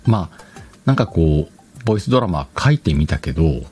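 A man with a low voice and lively intonation hosts a casual solo podcast. He rambles colloquially and corrects himself as he goes, over faint music. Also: normal speed. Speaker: podcast host. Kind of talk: casual chatty solo show. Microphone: dedicated microphone.

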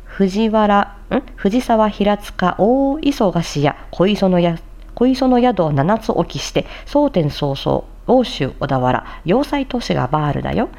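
A woman's voice: medium pitch, expressive, unhurried, a little mellow. A woman who hosts a tongue-twister challenge like a voice actor, moving between carefully enunciated theatrical recitation and polite, chatty commentary. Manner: polite, chatty asides to viewers.

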